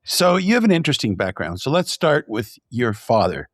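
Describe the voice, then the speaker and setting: A man's voice: mid-range voice, big pitch swings, moderate pace, fairly bright tone, no echo, studio quality. A man from Hungary hosting a podcast, asking his guest curious questions in a friendly way. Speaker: podcast host. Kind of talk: conversational interview.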